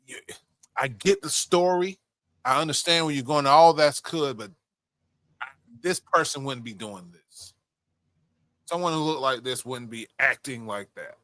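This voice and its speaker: A man's voice: mid-range voice, bright, forceful tone, dry recording. A man hosting a podcast in casual chat, swearing freely as he talks. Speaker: podcast host.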